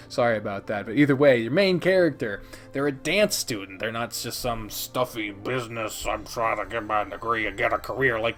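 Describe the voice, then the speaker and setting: A man hosting a casual countdown video, talking informally and emphatically with plenty of swearing. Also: medium pitch. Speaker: video host, visual novel reviewer. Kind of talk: casual scripted top-ten countdown commentary.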